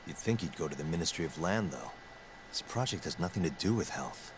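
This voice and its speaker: Low-voiced probing male detective. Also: lively intonation, fairly quick, noisy background. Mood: pondering. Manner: blunt, questioning. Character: probing, cool-headed adult male detective.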